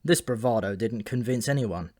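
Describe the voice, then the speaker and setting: Male audiobook narrator, mid-pitched, reading scripted comic fantasy. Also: normal speed, a little mellow.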